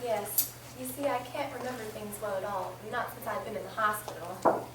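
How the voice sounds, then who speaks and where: A woman's voice: medium pitch, normal speed, close to an everyday voice. A woman playing a frail, forgetful, trusting wife in a radio play, speaking in a meek and hesitant way. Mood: hesitant, troubled. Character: frail, forgetful, trusting married woman, anxious after illness. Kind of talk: amateur old-time radio play acting. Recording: roomy, distant, noticeable hiss.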